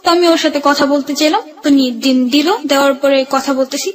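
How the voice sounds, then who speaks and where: A woman's voice: high-pitched, steady tone, fast. A woman with a Bangladeshi accent telling her own story conversationally, re-enacting remembered exchanges as dialogue.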